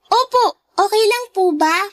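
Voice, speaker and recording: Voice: very high voice, unhurried, fairly bright tone, no echo, clean studio sound. A performer voicing a polite, timid little girl in a Filipino radio drama, speaking in a childlike, deferential way. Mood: cheerful, eager. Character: sweet, polite, timid little girl, younger half-sister.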